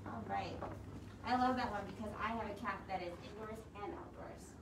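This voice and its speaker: A woman's voice: mid-range voice, normal speed. A woman hosting a preschool storytime, speaking simply and encouragingly to small children through a cloth mask in a library room. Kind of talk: read-aloud and instructions for young children. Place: library room with wooden walls and windows, indoors. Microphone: camera a few feet away, speaking through cloth mask. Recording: roomy, distant mic with strong noise.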